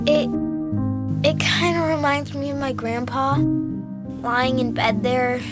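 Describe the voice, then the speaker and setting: A woman's voice: high-pitched, steady tone, slow. A woman playing an earnest, curious, worried young schoolgirl in an audio drama, with music behind her. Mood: troubled, earnest.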